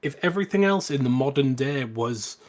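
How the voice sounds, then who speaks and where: A man with an average-pitched voice co-hosts a relaxed film discussion. He offers his opinions in measured phrases, feeling his way through them a little tentatively. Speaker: podcast co-host. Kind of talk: casual film review chat.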